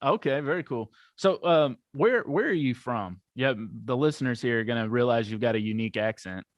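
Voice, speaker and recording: Male podcast host, middle pitch, conversational and affirming. Dry close sound. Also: fairly quick, dry recording. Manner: friendly, chatty questions, many affirmations. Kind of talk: casual podcast interview.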